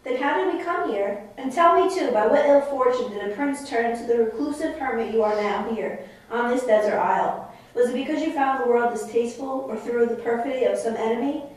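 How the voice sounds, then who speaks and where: Young wild princess, puppet stage, woman performer: high, earnest, theatrical voice, very echoey and distant. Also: brisk, close to an everyday voice. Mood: earnest, pleading. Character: sensitive young princess, wild island-raised daughter of a magician. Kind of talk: stage puppet-play acting. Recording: very echoey room, distant microphone.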